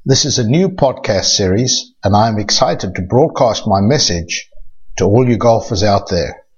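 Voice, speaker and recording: Older South African man, measured podcast talk. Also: fairly low pitch, expressive, dull audio. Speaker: golf instructor and podcast host. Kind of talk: scripted podcast monologue.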